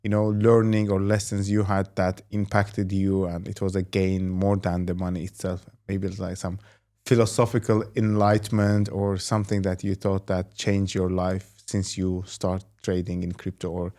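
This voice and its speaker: A man's voice: sits low, monotone, moderate pace, mellow. A man hosting an interview, asking long, rambling questions full of "you know" and "like". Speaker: host and company executive. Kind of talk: interview host.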